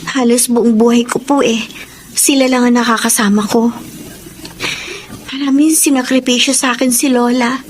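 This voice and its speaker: A high, youthful Filipino voice from an actress plays a devoted granddaughter in a radio drama. She is deferential and earnest, with open emotion in her delivery. Some noise sits behind the voice. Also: natural voice. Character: dutiful, devoted young granddaughter, earnest and respectful.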